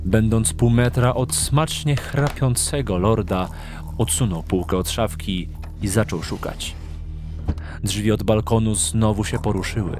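A man narrating a scripted audio drama with measured storytelling delivery. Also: lowish voice.

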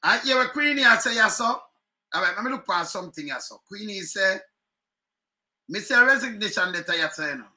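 Jamaican guy ranting into his webcam at home, high voice, real bright and sharp, keeps repeating himself. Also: fairly quick. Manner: animated, chuckling, repeats phrases, gestures a lot. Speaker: online commentary host. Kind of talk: casual political commentary in patois. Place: home room, indoors. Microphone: webcam at desk.

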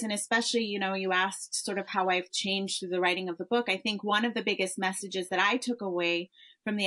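A woman's voice: medium pitch, a bit bright. A woman in her thirties with an American accent, an author answering interview questions thoughtfully, with frequent fillers and restarts. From born in Tanzania, raised in Europe and Africa. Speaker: memoir author guest.

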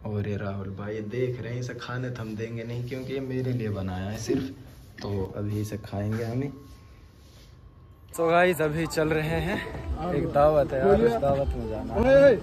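A man's voice: medium pitch, lively intonation, normal speed. Young man, casual vlog, phone mic, crowd noise behind. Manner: chatty, addresses viewers directly. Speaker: vlogger. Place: outdoors and in a village shop.

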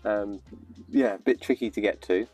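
A man's voice: fairly low pitch, moderate pace, darkish tone, faint music behind. A man explaining a car repair step by step from under the car, hesitant and casual. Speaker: vlogger narrating car repair. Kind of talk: casual how-to commentary.

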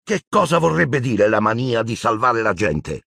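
A man's voice: deep voice, animated, fairly quick, breathy edge, dry recording, clean studio sound. A man voicing an intense, hot-headed teenage boy in an audiobook, urgent, defiant and argumentative. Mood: furious.

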